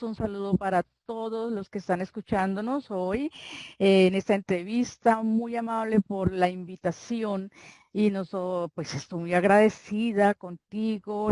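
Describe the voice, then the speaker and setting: A woman's voice: medium pitch, dry recording. Colombian woman speaking, restarting often. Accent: Colombian Spanish, Santander. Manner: warm, rambling, with frequent restarts. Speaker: guest author. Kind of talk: casual interview chat.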